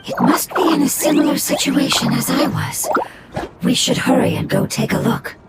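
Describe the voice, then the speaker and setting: A performer voicing a small forest spirit creature in a video game, who speaks in a formal, solemn, breathy way. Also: sits high, sing-song, normal speed. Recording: loud game soundtrack playing behind. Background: loud game soundtrack music.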